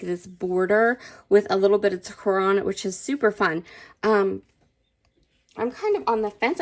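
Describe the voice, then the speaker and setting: Stuffy-sounding woman gushing over craft papers. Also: mid-range voice. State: stuffy, allergy-worn voice. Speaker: scrapbooking channel host. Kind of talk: casual unboxing chat. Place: indoors at a craft table.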